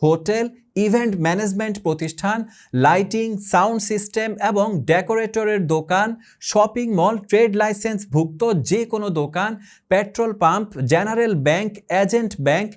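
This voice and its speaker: A man with a Bangladeshi accent delivers scripted advertising narration. His voice is notably high for a man. He speaks formally, setting out items in short, measured phrases like an announcer. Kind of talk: scripted product promo narration.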